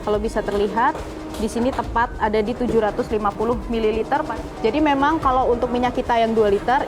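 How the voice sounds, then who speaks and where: High-voiced woman reporting on location, music underneath. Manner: conversational, explanatory, addressing viewers. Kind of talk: on-location investigative reporting, improvised to camera.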